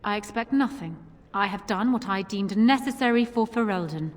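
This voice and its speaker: A woman's voice: mid-range voice, moderate pace, close to an everyday voice. A woman playing a proud, cold and defiant queen who clings to power, haughty and determined, in fantasy game dialogue. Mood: cool defiance. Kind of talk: dramatic game cutscene dialogue. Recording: long hall reverb, music underneath.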